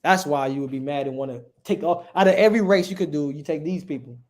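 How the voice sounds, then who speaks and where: A man's voice: a little high, fast. A man teaching a livestreamed religious history class, reading passages aloud and preaching emphatically, often checking with "right?".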